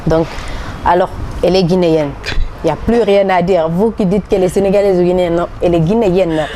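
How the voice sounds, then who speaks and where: A woman's voice: lowish voice, steady tone, quick pace. A woman interviewer, asking teasing, probing questions in casual talk. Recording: outdoor recording, traffic noise behind.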